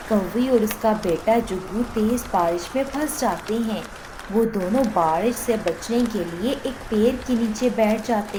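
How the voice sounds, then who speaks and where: A woman's voice: fairly high pitch, noisy background. A woman reading scripted narration for a children's story.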